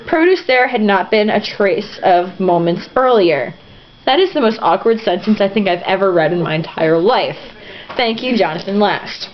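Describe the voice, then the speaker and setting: A woman reading a novel aloud in an irreverent, sarcastic, giggly way, stumbling over sentences and dropping into casual asides. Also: mid-range voice. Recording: dull lo-fi home recording, some noise.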